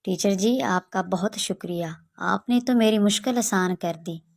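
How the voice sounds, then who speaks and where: A woman's voice: mid-range voice, a little slow, vocal fry. A woman voicing a principled, grateful young village daughter in a children's moral story, polite and earnest.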